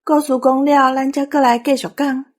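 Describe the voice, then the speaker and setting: A woman's voice: sits high, level tone, normal speed. A woman with a Taiwanese accent, introducing a programme as host.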